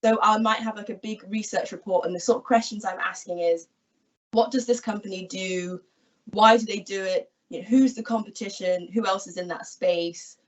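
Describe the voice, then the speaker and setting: A woman in her twenties, from England, explaining her job in a friendly, clear way on an online careers talk. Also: medium pitch, fairly even intonation, moderate pace. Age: 20s. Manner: friendly, explanatory, gestures while talking. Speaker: equity research analyst guest. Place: small room at home, indoors. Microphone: laptop webcam video call. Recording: distant, dull video call audio.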